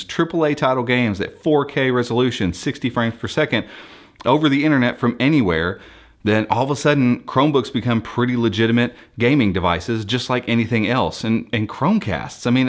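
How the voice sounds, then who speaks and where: An American man presenting for a tech channel, explaining things to viewers in an enthusiastic, conversational, unscripted way. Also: medium pitch.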